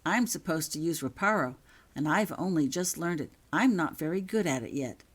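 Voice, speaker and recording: A woman's voice: low-pitched, animated, normal speed, slightly rough. Earnest young schoolgirl witch read by a woman. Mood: apologetic. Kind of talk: storybook character dialogue.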